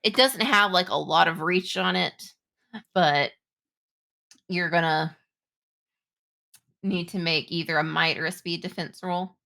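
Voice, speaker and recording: A woman game master improvising narration and rules in a halting, conversational way. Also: lowish voice, creaky, sharp tone. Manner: halting, conversational, pieced-together descriptions. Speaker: game master of a tabletop roleplaying game.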